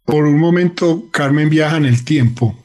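A man's voice: on the high side, normal speed, darkish tone. A man narrating a radio drama in a solemn, literary, dramatic manner.

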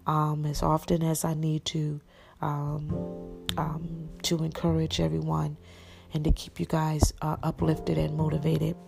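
A woman with a raspy voice, preaching an encouraging sermon and praying with an earnest, repetitive cadence. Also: deep voice, monotone, a little slow. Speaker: podcast minister. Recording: music playing behind the voice.

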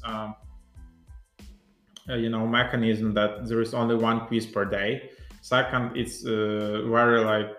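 A man's voice: on the low side, creaky, sharp tone. A young man from Ukraine, a non-native English speaker, hosting a solo tech podcast in a rambling, casual way with many fillers and restarts. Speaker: podcast host.

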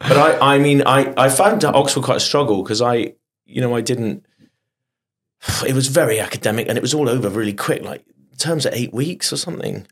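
A middle-aged man, a stage performer, telling animated stories in a casual interview, often restarting his sentences. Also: medium pitch. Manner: animated anecdotes with quick restarts. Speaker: guest performer.

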